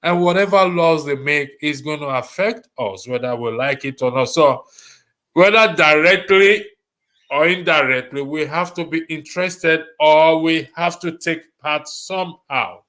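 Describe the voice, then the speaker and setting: A man from Lagos with a Nigerian accent hosts a panel discussion in a halting manner, repeating phrases for emphasis. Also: on the high side, bright, forceful tone. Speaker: host of a diaspora discussion show.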